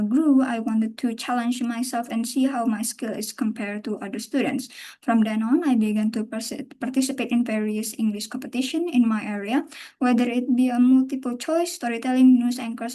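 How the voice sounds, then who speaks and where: A young woman with an Indonesian accent giving a university class presentation at a careful, measured pace. She stops now and then to correct herself. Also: on the high side, warm tone. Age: young adult. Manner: careful, measured delivery with occasional self-corrections. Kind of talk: class presentation, partly read.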